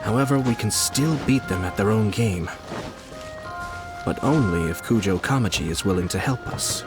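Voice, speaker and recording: A composed noble commissioner, played by a man in a game cutscene, low and unhurried. He weighs every word with courteous calculation. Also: over music, natural voice. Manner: measured, polite and calculating. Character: calm, scheming young male noble commissioner. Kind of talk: video game cutscene dialogue.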